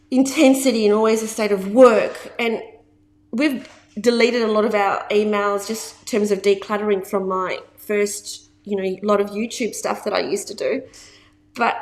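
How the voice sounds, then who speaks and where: A woman in her fifties with a slightly high voice talks casually to camera as a business coach. She leans on repeated words for emphasis and laughs easily between points.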